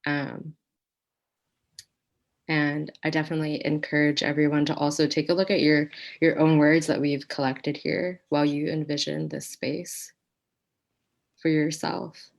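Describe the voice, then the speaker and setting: A woman in her forties, a teaching artist, reflecting thoughtfully in a workshop discussion with hesitant pauses. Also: low voice, little pitch movement, vocal fry, lo-fi sound. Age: 40s.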